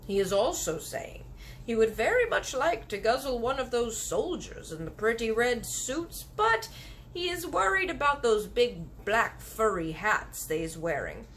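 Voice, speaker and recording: A woman doing the BFG, slow kindly giant. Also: fairly high pitch, very animated, a bit bright, slight background noise, pitched above the performer's own voice, rough recording. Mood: confiding. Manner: slow, mangled grammar and made-up words. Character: big friendly old male giant, gentle and stubborn. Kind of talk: storybook reading.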